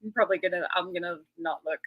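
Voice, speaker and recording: A woman's voice: lowish voice, fast talker, husky, phone-quality sound. An Australian woman in her thirties, a guest chatting casually and laughing often. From Western Australia. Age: 30s. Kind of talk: casual interview chat.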